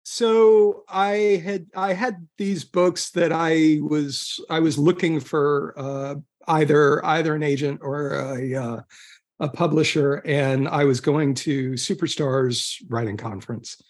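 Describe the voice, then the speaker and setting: Man haltingly telling casual stories. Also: a little high, fairly even intonation. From southern California. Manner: halting, self-interrupting, groping for words. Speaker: guest author. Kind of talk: casual conversational storytelling.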